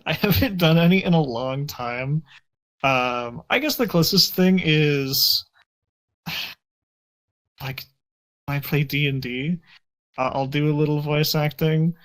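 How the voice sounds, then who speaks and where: A man's voice: a little high, takes it slowly, long pauses, fairly bright tone. A young man answering interview questions in a casual, reflective and self-deprecating way. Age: young adult. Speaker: interview guest.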